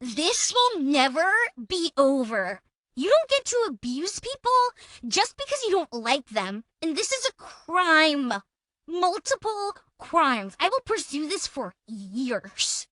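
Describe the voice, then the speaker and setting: A woman's voice: high voice, sing-song, slow, fairly bright tone, no echo, clean studio sound, pitched above the performer's own voice, exaggerated voice. A woman doing a dramatic reading as an indignant, threatening, accusatory young woman in a theatrical manner. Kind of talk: dramatic reading of text messages.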